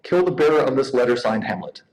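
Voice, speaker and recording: A man's voice: mid-range voice, expressive, quick pace, a little mellow, poor audio. A man making a joking stage announcement as an award presenter.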